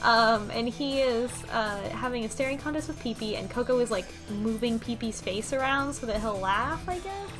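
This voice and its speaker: A woman's voice: fairly high pitch, expressive, moderate pace, hardly any pauses, over music. A woman co-hosting a podcast, casually recapping a game's story and acting out bits of dialogue in an informal way. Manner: informal, quotes characters in dialogue. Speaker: podcast co-host.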